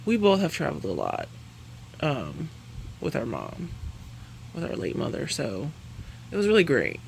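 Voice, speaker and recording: A woman vlogger talks casually through a makeup review, her pitch swinging up and down as she chats. Her voice has a gravelly fry, and she rambles and corrects herself as she goes. A faint noise sits behind her. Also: huge pitch swings, a little slow, poor audio. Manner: chatty, rambling, self-correcting; laughs between sentences. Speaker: beauty vlogger.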